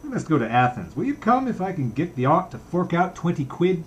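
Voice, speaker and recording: A man's voice: fairly high pitch, very animated, brisk, highs cut off, a little hiss or hum, pitched above the performer's own voice. A man reading a story aloud, voicing a brash, mocking, jovial young man in a theatrical, teasing way. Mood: gleeful. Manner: declaiming, mock-solemn, teasing.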